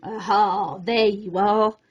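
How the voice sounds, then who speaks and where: A woman voicing a kindly, reassuring young male student in a children's storybook, with a gentle manner. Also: mid-range voice, fairly even intonation, slow, slightly rough, dull audio, pitched below the performer's own voice. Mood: pleased, calling out.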